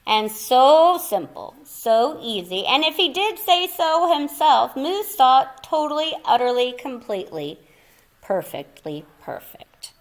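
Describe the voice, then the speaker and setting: Animated, high-pitched woman reading a children's story. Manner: theatrical, playful, dramatic pauses, explains words to young listeners. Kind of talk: expressive children's picture book read-aloud with asides to listeners.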